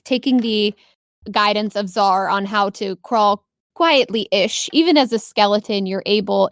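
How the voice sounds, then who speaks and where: A woman's voice: medium pitch, no echo, clean studio sound. A woman running a tabletop game as game master, improvising narration and descriptions for her players.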